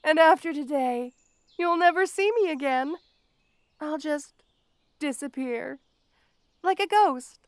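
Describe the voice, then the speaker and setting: A woman's voice: high-pitched, no echo, studio quality. A woman playing a petty, obsessive supervillain in an audio roleplay, with a taunting, mock-sweet, theatrical delivery.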